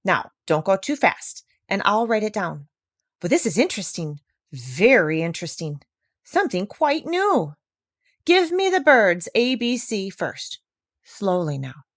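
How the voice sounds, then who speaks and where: Woman playing a polite, curious gentleman doctor. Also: mid-range voice, big pitch swings, measured pace, no echo, studio quality. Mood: excited, curious.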